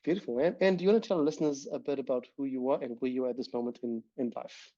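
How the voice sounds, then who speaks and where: A man hosting a casual podcast, his voice dark and mellow. He talks in a loose, rambling way, full of ums and restarts, and the recording sounds slightly dull and lo-fi. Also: medium pitch, rapid-fire. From immigrant to New Zealand. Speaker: podcast host. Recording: dull lo-fi audio.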